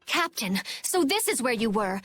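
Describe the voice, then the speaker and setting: Casting: serious female game lieutenant, formal, high voice, dry sound. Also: very animated, moderate pace, fairly bright tone, no room sound, soft background music. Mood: stern, formal. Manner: formal, clipped. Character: stern, serious young female knight lieutenant. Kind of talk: video game voice acting.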